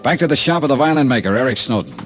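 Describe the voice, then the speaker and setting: An actor playing a dry, clipped insurance investigator in a 1950s radio crime drama, narrating and questioning. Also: male voice, mid-range voice, lively intonation, racing, lightly acted. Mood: hard-boiled. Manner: dry, clipped, hard-boiled. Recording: old radio broadcast with music.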